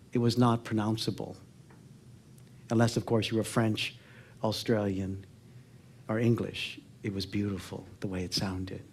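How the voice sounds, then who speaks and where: An American man in his sixties gives a personal storytelling talk, reflective and earnest, pausing and letting phrases trail off softly. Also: medium pitch. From Oakland, California, United States. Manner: reflective, earnest, with pauses and soft phrase endings. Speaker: public speaker. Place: theatre stage before a seated audience.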